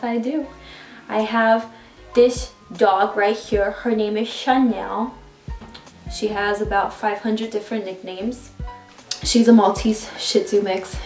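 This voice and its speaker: A woman's voice: a little high, darkish tone. A woman in her twenties from New York City, chatting casually and rambling with asides in a get-to-know-me vlog recorded on a phone at home. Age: 20s. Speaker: vlogger. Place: at home, indoors. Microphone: phone camera. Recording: roomy room with faint background music.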